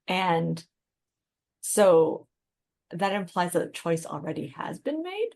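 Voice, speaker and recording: A woman co-hosting a podcast, talking through ideas in a casual, analytical and measured way. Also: fairly low pitch, very animated, gravelly, a little mellow. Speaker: podcast co-host.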